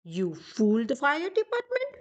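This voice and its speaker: A woman voicing excitable, urgent firemen in a children's storybook, calling out in short exclamations. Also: high voice, a little slow, muffled recording, voice pushed up, full character voice. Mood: scolding. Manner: exclamatory, repeated short commands.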